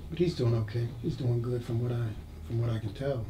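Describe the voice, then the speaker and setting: A man's voice: mid-range voice, fairly even intonation, brisk, background noise. A man in his sixties interviewing an older man in casual conversation, asking prompting questions and laughing easily. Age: 60s.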